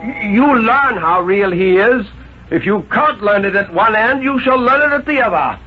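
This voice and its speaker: A man playing a stern, bullying father with a menacing, deliberate delivery in a vintage radio reading. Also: high-pitched, animated, breathy edge, voice pushed up. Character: stern bullying father threatening a child with whipping. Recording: muffled vintage radio broadcast, music behind.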